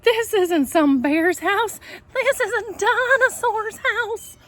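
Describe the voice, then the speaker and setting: A woman's voice: extremely high pitch, slow, fairly bright tone, pitched above the performer's own voice, heavily acted. A woman voicing a groggy, exasperated little girl in a storybook, groaning and dramatic. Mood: dawning realisation. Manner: groaning, dramatic, self-talking.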